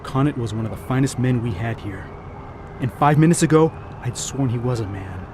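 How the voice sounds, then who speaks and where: An actor playing a sombre, shaken member of a polar expedition in an audio drama. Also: male voice, medium pitch, a little fast, a little mellow, a little hiss or hum, close to an everyday voice.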